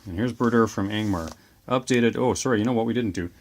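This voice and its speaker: A man's voice: lowish voice, slight background noise. A man hosting a tabletop wargame show, chatting casually and enthusiastically about models, dice and rules. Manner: chatty, enthusiastic, quick asides. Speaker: host of a tabletop wargame channel.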